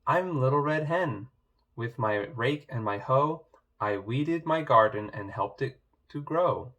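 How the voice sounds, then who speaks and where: Little red hen read by a man: hardworking, proud, reproachful, measured rhyme, slow pace, animated pitch. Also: exaggerated voice, low-quality recording. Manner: measured, rhyming recitation. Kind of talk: children's storybook read-aloud.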